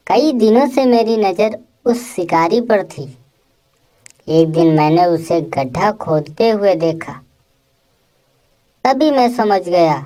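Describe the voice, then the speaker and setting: A woman voicing a clever, fearless male monkey who reasons with self-assurance in an animated moral story. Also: fairly low pitch, low-quality recording. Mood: explaining, satisfied.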